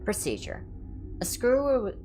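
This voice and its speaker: A woman's voice: on the high side, wildly swooping pitch, slow pace, music in the background. A woman livestreamer reading horror entries aloud in an ASMR style, with casual asides.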